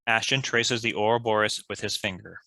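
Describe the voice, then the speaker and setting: Table read narrator: crisp-edged bright voice, scripted delivery of stage directions. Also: mid-range voice, fairly even intonation, a little fast.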